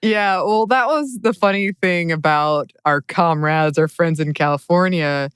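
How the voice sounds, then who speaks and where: A woman's voice: fairly low pitch, a little slow, clear, resonant tone, no echo, clean studio sound. A woman co-hosting a podcast, telling an anecdote in a casual, conversational way. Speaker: podcast co-host.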